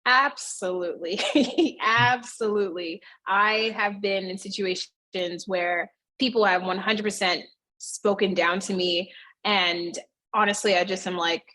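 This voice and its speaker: A woman's voice: medium pitch, steady tone, unhurried, pushed and bright, rough recording. A woman in her thirties, a fitness studio owner, chatting casually as an interview guest and acting out voices when she quotes people. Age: 30s.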